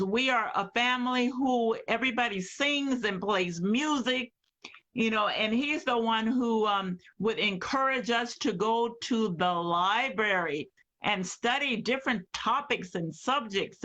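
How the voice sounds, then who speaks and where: Female interview guest, high bright voice, slow and earnest, with pauses. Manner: earnest, with hesitations and fillers. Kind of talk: casual interview chat.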